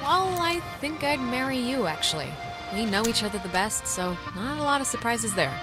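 A woman playing a quick-witted, sardonic and defiant teenage girl in a naturalistic video game. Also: on the high side, very animated, over music, low-quality recording. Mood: wry. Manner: snarky, casual, blunt.

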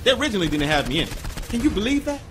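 An actor voicing an excitable, playful male gang sidekick in a video game, with music behind him. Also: sits high, very fast, heavy background noise. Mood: excited.

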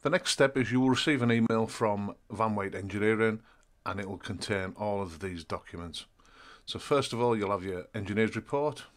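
Middle-aged vlogger, slightly low and throaty, casual walkthrough at home. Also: male voice, moderate pace, hardly any pauses. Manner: chatty, matter-of-fact walkthrough. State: throaty from a chest infection. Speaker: van life vlogger. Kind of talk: casual how-to vlog. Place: home kitchen indoors.

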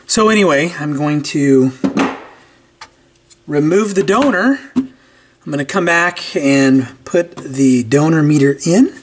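A man's voice: on the high side, slow, long pauses. Man thinking aloud over noisy workbench. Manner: informal, thinking aloud while working. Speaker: host of a repair channel. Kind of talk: casual hands-on repair walkthrough. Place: indoors at a cluttered workbench. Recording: steady clear background noise with clicks.

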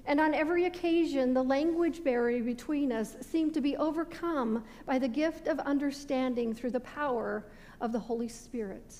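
A middle-aged American woman, a pastor, giving a sermon with measured, deliberate phrasing that falls away at sentence ends. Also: sits high, dark tone. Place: indoor stone-walled church sanctuary at a pulpit. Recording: reverberant church hall with background noise.

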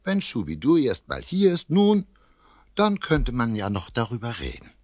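An actor playing a chatty, confiding storyteller, narrating a children's radio play in a husky voice. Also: male voice, mid-range voice, wildly swooping pitch, moderate pace, a little mellow, dry recording, clean studio sound. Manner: conversational, confiding. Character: chatty, cosy male storyteller addressing young listeners.

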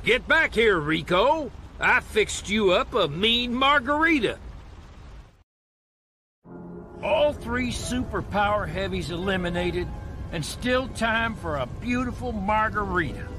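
Game mentor: jovial American agent, middle-aged, folksy, high-set voice, American accent. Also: male voice, expressive, a little slow. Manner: folksy, breezy. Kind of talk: video game cutscene acting.

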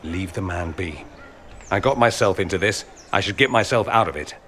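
A proud young nobleman. He is prickly and haughty, lively in pitch, with background game ambience. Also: moderate pace, slight background noise. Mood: resentful. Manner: haughty, indignant, clipped. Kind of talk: video game dialogue acting.

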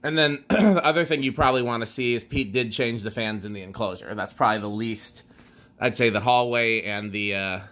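A man's voice: mid-range voice, brisk, fairly bright tone. A man giving a casual, unscripted tour, explaining technical details as he goes. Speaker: tour guide showing his fan collection. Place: inside a wooden barn. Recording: handheld recording with background noise.

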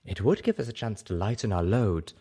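Man voicing a refined, soft-spoken pony mare. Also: fairly low pitch, expressive, brisk, breathy edge. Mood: approving. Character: refined, polite, inquisitive female pony.

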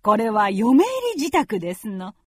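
A woman's voice: medium pitch, lively intonation, moderate pace, slightly rough, no echo. A woman playing a genteel, fretful middle-aged mother in a radio drama, with very polite old-fashioned feminine speech. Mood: genteel, proud. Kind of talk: Japanese radio drama acting.

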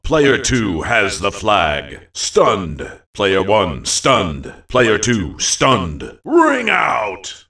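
A male actor playing a theatrical fantasy video game announcer, calling out short phrases with deliberate emphasis. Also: lowish voice, a bit bright, far from the mic, full character voice. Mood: emphatic, excited. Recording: echoey, set back from the mic.

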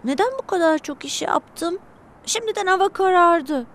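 Excitable, squeaky little cartoon bus. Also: female voice, moderate pace. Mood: weary. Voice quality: childlike, squeaky. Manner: expressive, exclamatory. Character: excitable young little blue bus, childlike and whiny. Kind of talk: children's cartoon dub. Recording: music behind the voice.

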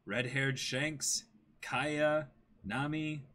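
A man's voice: a little high, steady tone, very slow, pushed and bright. A man in his twenties hosting a livestream, chatting casually and reading aloud in a jokey way, repeating short phrases. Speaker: streamer hosting a manga dramatic reading. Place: small indoor room with backdrop.

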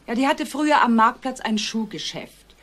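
A woman's voice: a little high, normal speed, fairly bright tone. An actress playing a composed married woman giving plain, informative testimony in a German crime radio drama. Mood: factual, reserved.

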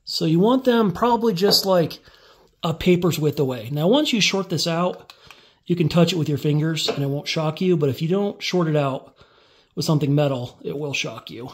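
A man with a slightly high voice talks through a workbench build in a relaxed, step-by-step way. He explains each move casually as he works with his hands, recorded indoors on a phone above the bench. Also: moderate pace. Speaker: DIY tutorial maker.

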